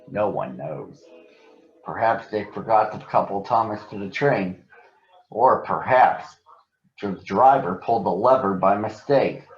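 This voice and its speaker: A man's voice: fairly low pitch, measured pace. A man narrating a children's story to young listeners as a storybook reader. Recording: roomy echo, dull sound.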